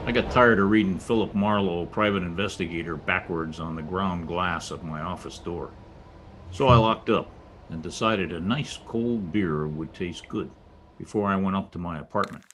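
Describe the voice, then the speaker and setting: A man's voice: sits low, normal speed, fairly bright tone, a little hiss or hum. A man playing a world-weary, wry private detective narrating his case in a 1940s radio noir style. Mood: brooding, weary. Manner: wry, terse, wisecracking. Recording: home-recorded radio play, faint music.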